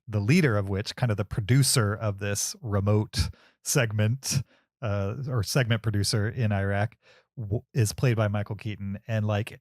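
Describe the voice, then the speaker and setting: A man's voice: on the low side, a little mellow, no room sound, studio quality. A man co-hosting a film podcast, explaining things casually in a hesitant, roundabout way.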